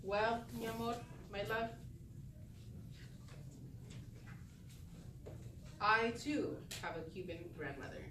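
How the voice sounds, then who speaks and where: A woman plays a tiny male mouse suitor from a storybook, gentle and courtly with sweet talk. The sound is distant and echoey, with noise behind it. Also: medium pitch, expressive, moderate pace, natural voice. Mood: affectionate. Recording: echoey room, distant mic, noisy background.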